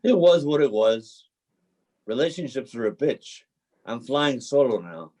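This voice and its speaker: A man's voice: mid-range voice, big pitch swings, a little slow, warm tone. A man playing a homeless drifter in a play reading, a cynical, bruised romantic who tells his story in a folksy, dramatic way. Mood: bitter, heartbroken. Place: remote video call reading.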